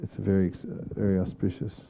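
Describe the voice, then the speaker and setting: Man, spiritual teacher, low voice, reflective halting phrasing, muffled recording. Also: flat delivery, gravelly, warm tone. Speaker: spiritual teacher leading a scripture class. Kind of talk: informal devotional discussion.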